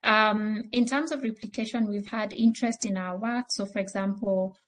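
A woman's voice: medium pitch, fairly even intonation, moderate pace. East African woman explaining as a panelist. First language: an East African native language. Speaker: panelist, transport nonprofit leader. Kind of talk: panel discussion, explanatory answers.

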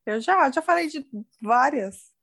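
A woman with a Brazilian Portuguese accent joins a podcast chat as a guest, talking earnestly about shows. Her voice sits a little high and has a lot of vocal fry. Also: expressive, a little slow, a crowd in the background. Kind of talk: casual chat about TV series.

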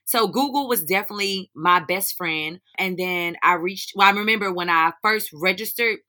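Young North Carolina woman, raspy, slightly hoarse, bright tone, relaxed candid interview guest. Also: on the low side, normal speed. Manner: candid, informal, slangy. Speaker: guest, teacher and business owner. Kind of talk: casual interview answers.

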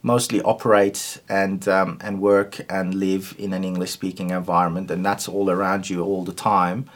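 A man's voice: deep voice, level tone, moderate pace. A man whose first language is Turkish, a guest in a conversational interview, speaking hesitantly with many ums and restarts.

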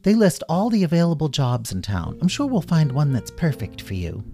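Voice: medium pitch, sing-song, normal speed, gravelly, music in the background, pitched above the performer's own voice. A performer voicing a practical, frowning friend in a children's story, matter-of-fact and firm.

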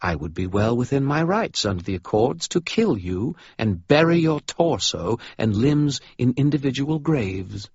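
A man's voice: medium pitch, sing-song, a little slow, few pauses, a little mellow, slightly rough, no echo, muffled recording, voice pushed up, heavily acted. A man voicing a cultured female vampire baroness with a precise British accent. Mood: cold threat. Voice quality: precise, menacing.

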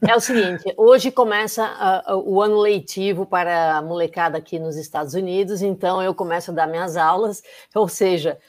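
A woman's voice: lowish voice, steady tone, normal speed, hardly any pauses. A woman correspondent talking from her apartment over a video call, playful and teasing as she presents her segment. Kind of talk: casual chat presenting a segment. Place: home apartment room.

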